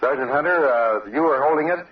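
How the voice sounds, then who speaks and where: A man interviews on location as a radio news reporter. His pitch is average for a man. The old field recording is dull and slightly distant from the microphone. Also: animated, a little fast. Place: outdoor observation post.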